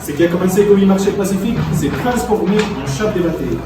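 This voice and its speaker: Field reporter for news skit, played by a man: high male voice, heavy echo, music underneath. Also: quick pace, crowd noise, close to an everyday voice. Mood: formal, reporting. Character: male field reporter covering a street protest. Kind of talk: amateur news dramatisation. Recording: very echoey, distant mic, background music.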